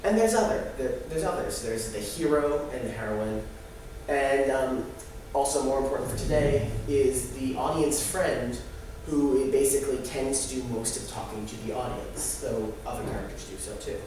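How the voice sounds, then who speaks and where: A young adult man giving a halting, explanatory student presentation live in a room. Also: mid-range voice, darkish tone. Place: echoey indoor room. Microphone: distant microphone. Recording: very echoey room, distant mic, noisy.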